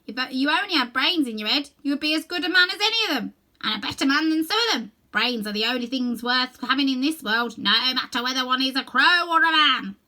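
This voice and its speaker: A woman's voice: sits high, animated, brisk, pushed and bright, pitched above the performer's own voice. A woman voicing a shrewd old male crow in a children's storybook, blunt, knowing and emphatic. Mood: advising.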